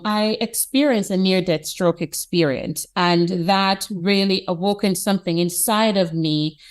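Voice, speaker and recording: Jamaican-accented woman, institute president, animated and expressive, middle pitch. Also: clear, resonant tone. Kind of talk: conversational interview.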